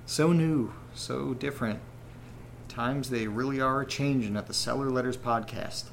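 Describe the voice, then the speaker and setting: An actor playing a rattled young man who rambles haltingly through a personal audio diary in a self-deprecating way. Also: mid-range voice, vocal fry, slight background noise. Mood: uneasy. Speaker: narrator character of a horror audio drama. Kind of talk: confessional audio diary monologue, conversational.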